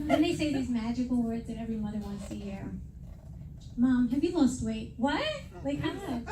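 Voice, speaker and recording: A woman's voice: fairly high pitch, expressive, moderate pace, long pauses, far from the mic, background noise. A middle-aged woman from New York doing a stand-up comedy set, brash and self-mocking, acting out the people in her stories. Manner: brash, self-mocking punchlines, quoting others in raised voices. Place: comedy venue with a live audience. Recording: echoey room, mic heard from afar, loud crowd laughter.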